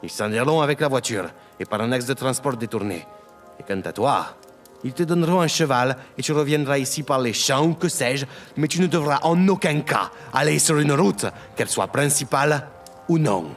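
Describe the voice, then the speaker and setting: A man plays the imposing head of a crime family in an audio drama. He is icy, curt and authoritative, at a medium male pitch. Music runs under his words. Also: lively intonation, normal speed, lightly acted. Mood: cold, commanding.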